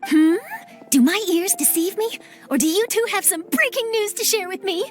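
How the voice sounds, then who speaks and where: A woman's voice: extremely high pitch, animated, moderate pace, faint music behind. A woman voicing an eager, nosy young female reporter in a game cutscene, chipper and persuasive. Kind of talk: video game cutscene dub.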